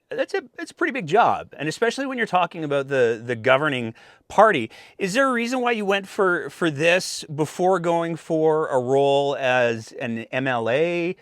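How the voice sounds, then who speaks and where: A man's voice: no echo, studio quality. A young man hosting a political interview, asking careful, hedging questions. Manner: careful, hedging questions with lively pitch swings. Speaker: podcast host.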